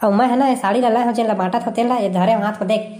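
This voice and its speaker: A woman's voice: on the high side, fairly even intonation, rapid-fire, warm tone, natural voice. A woman voicing a brash, bossy male election candidate in a comedy cartoon, scolding and blustering in a Chhattisgarhi accent. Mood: boastful, persuading. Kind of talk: comic cartoon dialogue.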